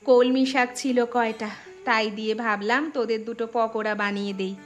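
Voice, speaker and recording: High-voiced gentle but firm cartoon mother, played by a woman. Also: fairly even intonation, fairly quick, soft background music, close to an everyday voice. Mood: caring. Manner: caring, mildly chiding. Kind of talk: cartoon story dialogue.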